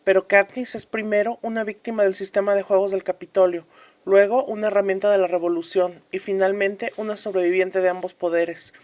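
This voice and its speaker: An earnest actress playing a woman commentator in a scripted radio drama about a fictional republic. She speaks solemnly and with emphasis, repeating phrases deliberately as she reflects on history. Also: mid-range voice, level tone, darkish tone, lightly acted. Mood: earnest, reflective.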